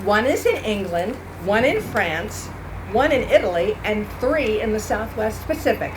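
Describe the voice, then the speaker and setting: A woman's voice: medium pitch, very animated, unhurried. A woman playing a proud, plainspoken 1940s war mother in a live radio-play reenactment. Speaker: reenactor reading a radio script. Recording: noisy live event recording.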